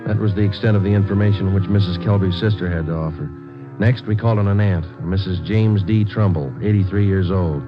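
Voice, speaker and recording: A deadpan American police detective, played by a man from classic radio crime drama. He is low-voiced and clipped, rattling off facts quickly without fuss. The sound is a dull old broadcast with music behind. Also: natural voice. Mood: flat, factual. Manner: deadpan, terse, matter-of-fact. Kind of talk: 1940s-50s radio drama narration, clipped and factual. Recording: dull vintage radio recording.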